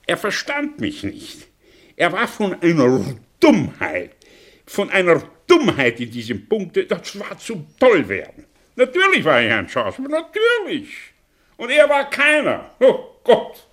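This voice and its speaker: An actor playing an older, sardonic, brilliant fallen intellectual in a German radio drama, speaking theatrically with rhetorical pauses and swells. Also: male voice, sits high, huge pitch swings, a bit bright, exaggerated voice. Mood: agitated, bitter. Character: aging, theatrical, sardonic man, brilliant fallen intellectual confessing his past.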